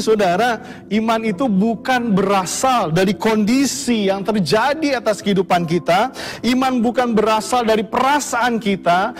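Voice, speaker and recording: A pastor preaching a sermon to his congregation, addressing them again and again. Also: sits high. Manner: preaching to the congregation, often calls them brothers and sisters.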